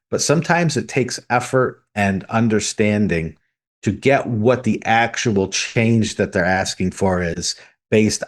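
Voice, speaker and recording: Low-voiced man co-hosting a podcast. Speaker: podcast co-host. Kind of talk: conversational news reading.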